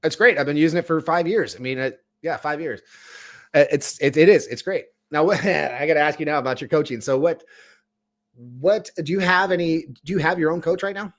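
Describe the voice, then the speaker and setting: A man's voice: on the high side, fairly quick, fairly bright tone. A man as a guest leadership coach, talking casually and emphatically, repeating his points for stress. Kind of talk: casual interview chat.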